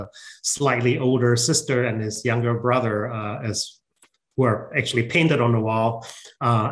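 Malaysian man explaining in a halting way. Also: medium pitch, low-quality recording. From Penang, Malaysia. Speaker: podcast guest, research microscopy center director. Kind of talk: conversational interview, reminiscing.

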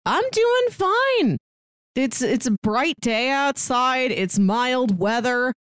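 A woman's voice: high voice, very animated, slow pace, a bit bright, clear, resonant tone, dry recording. A woman co-hosting a podcast in casual chat, with a wry, dry-witted way of talking. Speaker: podcast co-host.

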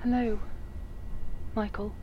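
An actress playing an earnest, worried former therapist in an audio drama, speaking with careful, pleading concern. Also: female voice, fairly high pitch, little pitch movement, slow, noisy background. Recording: slightly dull sound, faint music.

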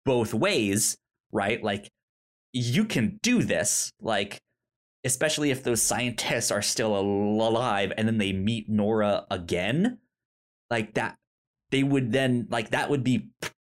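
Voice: mid-range voice, animated. A podcast co-host chatting casually about a TV show, thinking aloud with lots of "like"s and restarts. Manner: halting, many "like"s and restarts, slow and musing. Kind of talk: casual chat reviewing a TV show.

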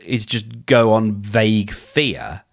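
A man's voice: fairly low pitch, animated, slow pace, vocal fry. A man hosting a solo advice podcast, thinking aloud in a conversational way and often restarting his sentences. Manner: conversational, thinks aloud, acts out inner dialogues; frequent restarts and filler phrases. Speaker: podcast host.

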